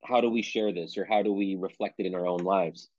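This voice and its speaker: A man's voice: low voice, fairly even intonation, brisk, darkish tone, dry recording. Man, author guest, thoughtful, restarts often, dry lo-fi sound. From United States (Pennsylvania). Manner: thoughtful, self-qualifying, frequent restarts. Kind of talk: conversational interview answer.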